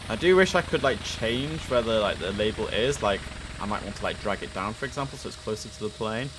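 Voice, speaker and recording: Chatty man over clear background noise. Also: on the low side, lively intonation, brisk. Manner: chatty, informal, thinks aloud. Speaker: streamer playing an air traffic control simulator. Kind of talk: casual live gameplay commentary.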